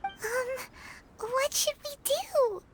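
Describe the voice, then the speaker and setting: A woman voicing a tiny childlike fairy companion in a video game, chatty and excitable with squeaky exclamations. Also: drawn-out words, a little hiss or hum, heavily acted. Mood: uneasy.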